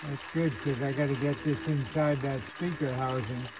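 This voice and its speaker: A man casually narrating a hands-on repair demonstration at his workbench, with an unhurried, folksy manner. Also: mid-range voice, fairly even intonation. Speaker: hobbyist host demonstrating radio repair. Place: indoor electronics workbench. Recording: clear background machine whir and noise.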